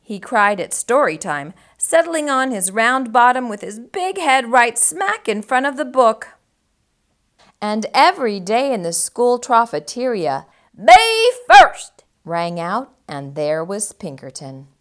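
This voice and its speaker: A woman hosting a storytime, reading a children's book aloud in an animated style for young listeners. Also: on the high side, unhurried.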